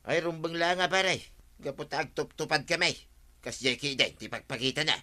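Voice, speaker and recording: An actor with an Ilocano accent playing a gossipy, excitable village buddy, talking in emphatic bursts in a radio comedy drama. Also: male voice, fairly high pitch, normal speed. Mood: excited.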